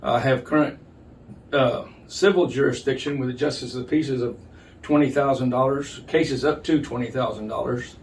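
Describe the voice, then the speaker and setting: An older male official answers interview questions in plain, measured words, stopping and restarting as he explains. His pitch is average. The mic is a little way off and there is light background noise. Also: fairly even intonation, recorded from a distance. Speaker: county judge, interview guest.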